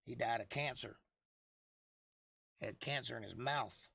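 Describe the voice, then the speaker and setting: A man with a hoarse, froggy voice hosting a casual livestream, rambling and joking at his own expense as he replies to viewers. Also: medium pitch, measured pace, bright, forceful tone, no echo. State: lost voice for months, throat clearing. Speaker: livestream host.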